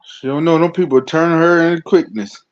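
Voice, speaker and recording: A man's voice: a little high, steady tone, normal speed. A man from Savannah, Georgia, joining a casual podcast chat in a laid-back way. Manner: laid-back, short interjections. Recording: muffled lo-fi sound.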